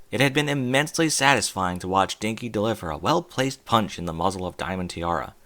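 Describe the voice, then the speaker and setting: A man narrating a fan fiction story as an audiobook, in an expressive storytelling manner. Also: on the low side, heavy background noise.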